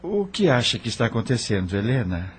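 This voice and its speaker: A man's voice: medium pitch, big pitch swings, fairly quick, husky. A man playing an earnest, grieving doctor and family man in an audio novel. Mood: troubled. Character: earnest grieving widowed doctor, loving husband and father. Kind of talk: audio novel dramatic acting.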